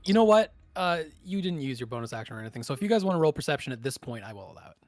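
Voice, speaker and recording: A man's voice: medium pitch, big pitch swings, racing, a little mellow, dry recording, clean studio sound. A man acting as game master, talking casually through rulings and narrating a tabletop game.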